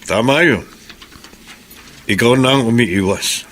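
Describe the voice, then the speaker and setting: A man's voice: medium pitch, takes it slowly, fairly bright tone, a little hiss or hum. A man playing a stern, protective older prison mentor in a radio drama, firm and fatherly. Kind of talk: Filipino radio drama acting.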